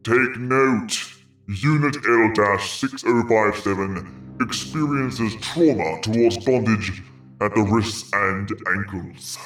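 Gruff demon guard, performed by a male narrator, horror audio story. Clinical and irritable, very slow delivery, echo-laden sound. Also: on the low side, fairly bright tone, distant mic, pitched below the performer's own voice. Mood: cold, clinical. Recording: echoey voice over background music.

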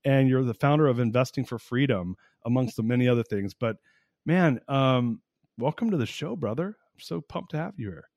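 A man in his forties hosting a podcast interview, talking in an excitable, casual way with frequent swearing and laughter. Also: mid-range voice, moderate pace, no echo, studio quality. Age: 40s. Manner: excitable, profane, laughs often, animated. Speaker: podcast host.

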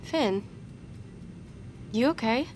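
A woman's voice: fairly high pitch, expressive, very slow, a little mellow, slight background noise. An actress playing a concerned, reserved female assistant in an audio drama. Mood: concerned, firm.

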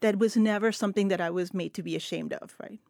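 A woman's voice: medium pitch, quick pace, gravelly, warm tone, no room sound, studio quality. A middle-aged woman from El Paso whose first language is Spanish, a literature professor speaking earnestly and reflectively in long flowing sentences on a panel. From El Paso, West Texas. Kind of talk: panel discussion.

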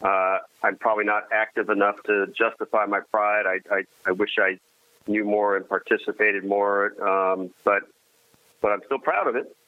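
A man's voice: on the low side, fairly even intonation, no room sound. Man on a tinny phone line. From United States. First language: Armenian. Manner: halting, many restarts, self-deprecating humor. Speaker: guest. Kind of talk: casual conversation.

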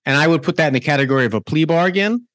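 A man's voice: on the high side, animated, very fast, fairly bright tone. A man hosting a talk radio show, with a conversational, emphatic delivery that often restarts mid-sentence. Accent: New York. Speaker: radio host.

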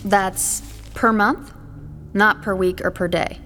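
A woman's voice: medium pitch, steady tone, slow, pushed and bright, soft background music. An actress playing a skeptical, dry-witted young woman in an audio drama, blunt and questioning. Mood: dryly sardonic. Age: young adult. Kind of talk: modern audio drama acting.

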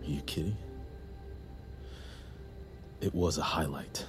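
Deep-voiced, halting young army veteran. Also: male voice, over music. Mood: urgent, vulnerable. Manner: halting, hesitant, confessional. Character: young army veteran, earnest, awkward, confessing buried shame and desire. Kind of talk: naturalistic audio drama acting.

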